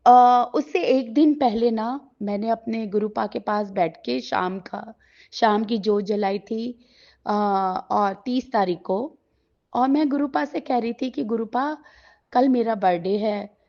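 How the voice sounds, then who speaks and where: A middle-aged woman with a sore throat, sharing a devotional gratitude talk at home before a shrine, in a gentle, halting way with many pauses. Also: a little high. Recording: slightly dull lo-fi recording.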